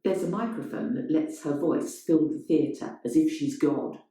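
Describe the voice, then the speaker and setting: A woman's voice: low-pitched, a little slow, mellow. A woman reading a scripted story as dramatic narration. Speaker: reader of a play-like story. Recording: very echoey, reverberant room.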